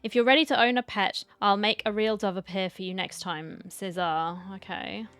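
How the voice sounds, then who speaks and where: A woman's voice: medium pitch, fairly even intonation, normal speed, a bit bright, clear, resonant tone, dry recording. A woman doing casual let's-play commentary, chatty and talking back to the game. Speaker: gamer, streamer. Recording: game music behind the voice.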